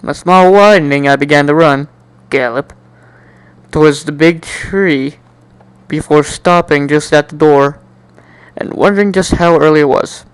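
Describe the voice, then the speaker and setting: A man doing an amateur dramatic reading of a first-person story in an animated, jokey way, now and then stumbling and repeating words. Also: on the high side, a little slow, clear, resonant tone, no room sound, low-quality recording. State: coughing, slightly sick. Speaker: amateur fan fiction reader.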